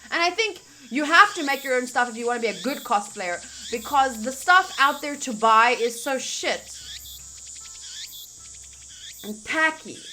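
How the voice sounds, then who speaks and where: High, bright-voiced woman doing playthrough commentary. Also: expressive, unhurried, slight background noise. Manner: reacts playfully, acts out lines between reading. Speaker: streamer playing a visual novel. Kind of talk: playthrough commentary and reading text aloud. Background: game music.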